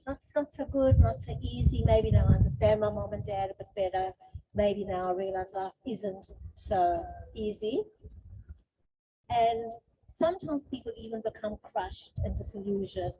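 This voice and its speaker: A woman's voice: medium pitch, warm tone. Woman giving an informal talk, noisy recording. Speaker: guest speaker on relationships and life stages. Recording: noisy, dull lo-fi sound with clicks.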